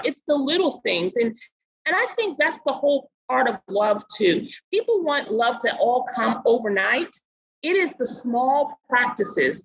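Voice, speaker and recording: Middle-aged woman from Barbados; podcast guest; bright, raspy tone with some fry; earnest and deliberate. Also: a little high, lo-fi sound. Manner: earnest, measured, slows down to stress points. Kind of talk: casual interview talk.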